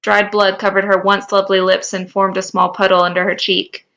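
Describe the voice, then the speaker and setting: A woman's voice: mid-range voice, level tone, fairly quick, creaky, fairly bright tone, muffled recording. A woman co-hosting a podcast, reading a story aloud and now and then stumbling over a word. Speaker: podcast co-host. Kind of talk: reading fan fiction aloud.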